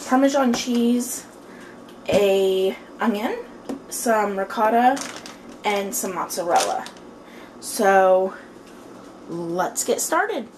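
A woman's voice: medium pitch, expressive, drawn-out words, pauses often. A woman in her thirties chatting in an upbeat way as she cooks in her home kitchen. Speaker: home cooking vlogger. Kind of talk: casual cooking narration. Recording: noisy kitchen with clattering dishes.

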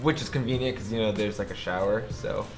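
A man's voice: fairly low pitch, quick pace, music in the background. A man in his twenties reading viewer questions in a casual studio chat, laid-back and agreeable. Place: large studio room full of shelves.

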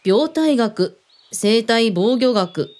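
Casting: covert team's commanding boss played by a woman, fairly high voice, brusque, casual, audio drama. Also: lively intonation, drawn-out words, a little mellow. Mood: probing, wry. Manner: casual, blunt masculine phrasing, probing.

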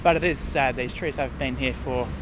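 Male vlogger, mid-pitched, relaxed and halting, recorded on a camera mic by a busy road. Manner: relaxed, hesitant with fillers. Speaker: urban explorer vlogger. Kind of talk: casual walking narration. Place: outdoors beside a busy road. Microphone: handheld camera mic, speaker off screen. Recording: outdoor camera audio with clear traffic noise.

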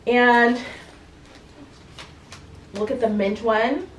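A woman's voice: fairly high pitch, steady tone, very slow, slight background noise, rough recording. A woman in her thirties chatting casually and enthusiastically, like a lifestyle vlogger showing things off at home. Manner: enthusiastic, gushing. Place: furnished bedroom at home, indoors.

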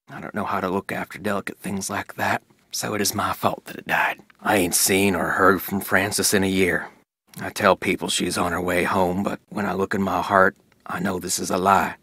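A man's voice: low voice, bright, forceful tone, no echo, clean studio sound, full character voice. An actor playing a middle-aged, plainspoken Old West sheriff and father in a video game, reading his diary with a rural Western American accent. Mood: regretful, sorrowful. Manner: folksy, plainspoken. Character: weathered Old West sheriff, grieving, stern, loving father.

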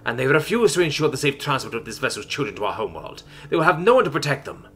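A man's voice: medium pitch, expressive, quick pace, fairly bright tone, husky, pitched above the performer's own voice. A man voicing a bitter, menacing millipede-snake alien mother with stilted, formal speech in a story. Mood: bitter, desperate.

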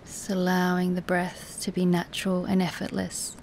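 A woman teaching guided relaxation in a soothing, soft, instructive way, with breathy, near-whispered moments and long pauses. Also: fairly low pitch, completely flat delivery, a little hiss or hum, rough recording. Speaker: yoga nidra meditation teacher.

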